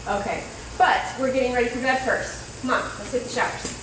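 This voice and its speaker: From far off in a very echoey, noisy hall, an actress plays a practical campout mother. Her voice is high and matter-of-fact in a live family drama. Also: steady tone, brisk, natural voice. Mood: firm, indulgent. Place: live show at a recreation hall.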